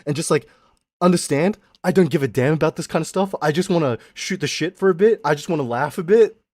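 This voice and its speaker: A young man streaming as a VTuber, ranting in a casual, animated way. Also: fairly high pitch, no echo, studio quality. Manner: animated, exaggerated, quick mock voices and laughs.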